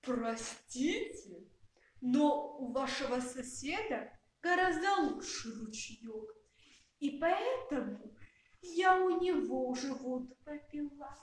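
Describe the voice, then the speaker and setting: A woman voicing a reluctant, complaining cow with drawn-out moos in an amateur puppet play. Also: high voice, lively intonation. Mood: aloof, unhelpful. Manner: drawn-out, mooing. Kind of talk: amateur puppet theatre acting. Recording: very echoey room, distant mic.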